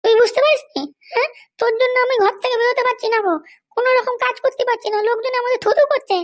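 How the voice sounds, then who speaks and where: A woman's voice: very high-pitched, steady tone, very fast. A woman voicing a bossy, vengeful female politician and scolding wife in a comedy cartoon, haranguing and boastful. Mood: furious scolding.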